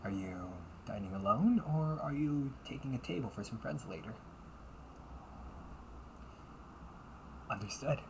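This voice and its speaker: Performer acting a delinquent older brother as cafe butler, medium pitch, formal politeness, grudging edge, amateur audio roleplay, slight background noise. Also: animated, brisk, close to an everyday voice. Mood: formally polite. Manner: stiffly formal service politeness, slipping into muttered threats.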